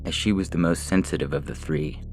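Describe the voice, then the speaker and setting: A very low-pitched man narrates literary fiction with a gravelly, creaky edge. His reading is measured and formal, and music plays clearly beneath his voice. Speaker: story reader. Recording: music bed under the voice.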